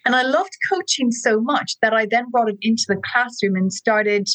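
A woman's voice: on the high side, normal speed, fairly bright tone, no room sound, poor audio. A woman in her forties with an Irish accent, an enthusiastic, chatty storyteller speaking as a guest over a remote call. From Ireland. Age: 40s. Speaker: guest, education app co-founder. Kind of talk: podcast interview.

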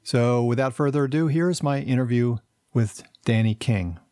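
A man's voice: mid-range voice, moderate pace, warm tone, dry recording, clean studio sound. A man hosting a podcast, reading the intro and sponsor notes, then asking friendly questions with fillers. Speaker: podcast host.

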